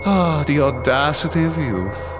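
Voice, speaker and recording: A man playing a pompous older cult-leader villain in a video game, speaking in a grandiose, deliberate manner. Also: a little high, lively intonation, over loud music. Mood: menacing, condescending. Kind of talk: video game cutscene acting.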